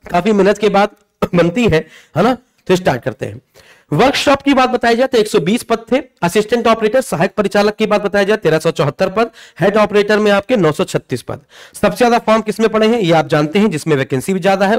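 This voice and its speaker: A man explains things as a coaching teacher would. His delivery is informal and emphatic, circling back to repeat key points and checking in with short tags. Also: high-pitched. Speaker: exam coaching teacher presenting a video. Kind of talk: informal explanatory lesson to students.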